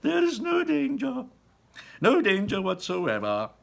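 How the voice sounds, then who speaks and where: A man's voice: very high-pitched, huge pitch swings, measured pace, vocal fry, pitched above the performer's own voice, full character voice. A man voicing a breezy, eccentric chocolate factory owner from a storybook, exclaiming and reassuring. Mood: breezy, soothing.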